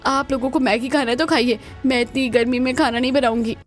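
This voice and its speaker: A woman voicing the women of a cartoon household with an expressive, theatrical delivery. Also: high voice, a little fast, soft background music, lightly acted. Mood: angry. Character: women of a cartoon household, scheming young daughter-in-law and exasperated elders. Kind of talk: dramatised cartoon dialogue.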